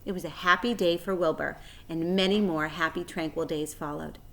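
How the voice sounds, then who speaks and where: Lower-voiced woman reading a children's book. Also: fairly even intonation, moderate pace, fairly bright tone, a little hiss or hum, rough recording. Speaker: read-aloud storyteller.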